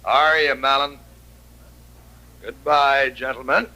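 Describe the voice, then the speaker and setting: An actor playing a calm, fearless hired gunman in an old American radio western, terse and deliberate with a cool menace. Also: medium pitch, heavy background noise, lightly acted. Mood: grim calm. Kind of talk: 1950s radio western acting. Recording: old radio recording with music.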